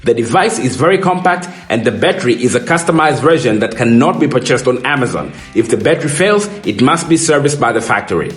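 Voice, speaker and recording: A man presents a scripted product explainer in a measured, informative manner. His voice sits at a medium pitch and sounds roomy, with guitar music playing behind it. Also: big pitch swings.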